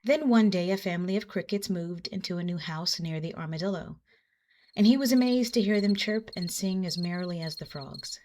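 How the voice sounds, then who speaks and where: A woman storyteller narrating a children's folktale in an expressive style. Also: fairly low pitch, noisy background. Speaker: storyteller and host. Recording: music behind the voice.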